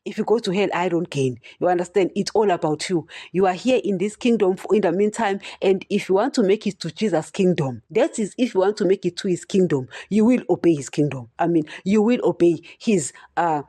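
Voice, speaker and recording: Female podcast preacher, stutters, blunt and repetitive, mellow tone, dry close sound. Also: fairly low pitch, a little fast, few pauses, breathy edge, dry recording. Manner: direct, repeats "you understand?", addresses listeners as guys. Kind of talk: solo preaching podcast talk.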